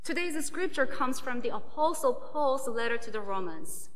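A woman whose first language is Korean, preaching a sermon as a pastor in a slow, measured way. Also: high-pitched, lively intonation, big-room sound, a little hiss or hum.

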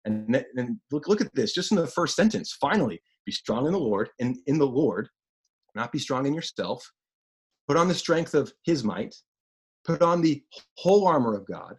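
Deep-voiced husky male preacher. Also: moderate pace. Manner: animated, repeats phrases for emphasis. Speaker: pastor. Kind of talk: sermon.

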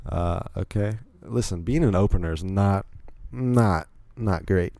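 A man's voice: low voice, a little slow, dark tone, no echo, studio quality. A young man from Virginia hosting a solo podcast, rambling casually with frequent restarts and self-deprecating asides. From Virginia, USA. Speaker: podcast host.

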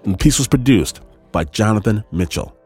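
A man's voice: fairly low pitch, expressive, brisk, a little mellow, slightly rough. A man hosting a radio storytelling show, delivering scripted introductions and credits as a presenter. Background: hip hop music.

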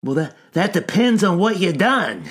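A man's voice: high-pitched, big pitch swings, normal speed, husky, pitched above the performer's own voice, full character voice. A man voicing a nervous, suspicious hobo in an audiobook story, stammering in folksy speech. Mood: wary.

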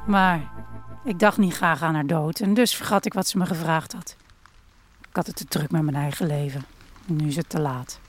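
A woman's voice: low-pitched, moderate pace. Reflective woman narrating a radio play. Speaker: narrator of an audio drama. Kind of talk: first-person dramatic storytelling. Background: music.

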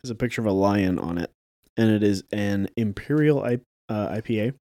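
A man's voice: lowish voice, brisk, mellow, dry recording, clean studio sound. A man co-hosting a casual chat, explaining things with hedges and filler words. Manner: hedging, explains with lots of "like". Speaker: podcast co-host.

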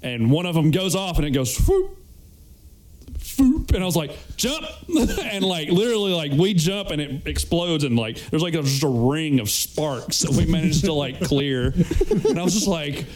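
Man, slightly high voice, very animated intonation, slangy, joking, casual storytelling. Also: normal speed, slight background noise, low-quality recording. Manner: slangy, jokey. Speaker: podcast guest.

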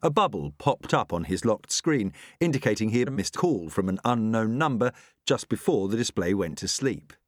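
A man's voice: lowish voice, lively intonation, normal speed, no room sound, studio quality. A man reading a novel aloud as scripted audiobook narration.

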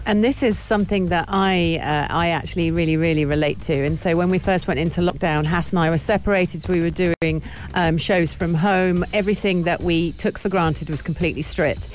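A woman's voice: lowish voice, steady tone, a little fast. A woman co-hosting a show, chatting and asking a guest questions in an engaged way. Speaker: radio show co-host. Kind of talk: casual interview chat.

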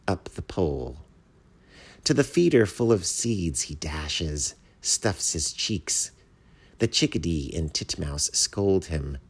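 A man voicing a gentle, earnest, modest bat who is a poet in a children's storybook. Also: low voice. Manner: earnest, thoughtful, mild. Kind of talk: children's storybook read aloud.

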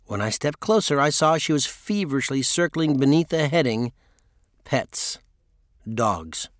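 A man's voice: a little high, animated, brisk, dry recording, clean studio sound. A man narrating a memoir audiobook in a scripted first-person storytelling style.

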